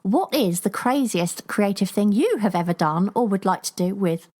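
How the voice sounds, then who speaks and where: A woman's voice: mid-range voice, lively intonation, moderate pace, darkish tone. A woman in her forties co-hosting a podcast, reading out listener comments and teasing her co-host with a giggle. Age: 40s. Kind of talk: casual chat.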